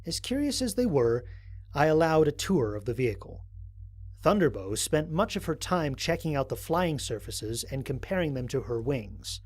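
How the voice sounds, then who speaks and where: A man playing a curious, bemused space explorer, narrating mission logs in an expressive, musing way for an audio drama. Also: a little high, moderate pace. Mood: wry, curious. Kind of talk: dramatic first-person mission log narration.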